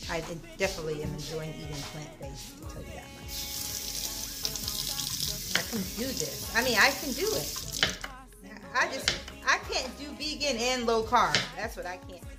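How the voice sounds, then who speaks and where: A woman in her thirties chatting and teasing while filming a cooking vlog in her home kitchen. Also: mid-range voice. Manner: chatty, teasing, laughs easily. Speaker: vlogger. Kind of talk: casual cooking vlog chat.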